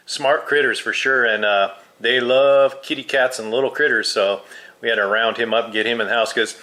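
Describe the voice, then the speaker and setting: A man's voice: mid-range voice, fairly even intonation, moderate pace, bright, forceful tone. A man who is a hobby radio operator, chatting casually with radio jargon.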